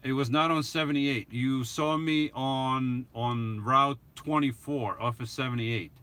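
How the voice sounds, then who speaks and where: A man's voice: mid-range voice, steady tone, measured pace, pushed and bright, clean tone. A middle-aged man with a foreign accent, chatting casually with his live-stream viewers and often restarting his sentences with small laughs. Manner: rambling, chuckling, many restarts. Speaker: YouTube channel host answering live chat.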